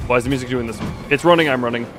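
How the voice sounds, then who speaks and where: A man's voice: mid-range voice, racing, music in the background. A man streaming a video game, commenting live in a wry, rambling way and often repeating his own phrases. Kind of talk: live gameplay commentary, talking to himself and chat.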